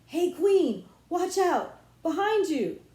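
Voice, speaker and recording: A woman's voice: very high-pitched, sing-song, takes it slowly, mellow, voice pushed up, exaggerated voice. A woman voicing an earnest, unsure young country boy with plain, colloquial speech in a children's storybook. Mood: urgent. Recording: roomy echo.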